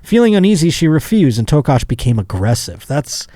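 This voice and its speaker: A man's voice: on the high side, big pitch swings, fairly quick, mellow, no room sound, studio quality. A man hosting a casual comedy podcast, reading news stories aloud and riffing on them with sardonic, profane asides. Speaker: podcast host.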